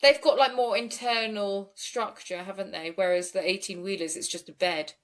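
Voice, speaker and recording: A woman's voice: medium pitch, fairly even intonation, normal speed, a bit bright. A young woman co-hosting a true-crime podcast, chatty and giggly, with lots of "like". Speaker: podcast co-host. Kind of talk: casual true-crime chat.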